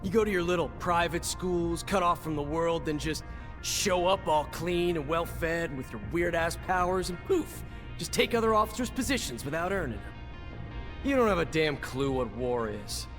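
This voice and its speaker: An actor playing a cynical veteran soldier in a video game, gruff and blunt in manner. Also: male voice, on the high side, lively intonation, normal speed, a bit bright. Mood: bitter, sarcastic. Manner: gruff, sardonic, blunt, swearing. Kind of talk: video game dialogue acting. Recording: music behind the voice.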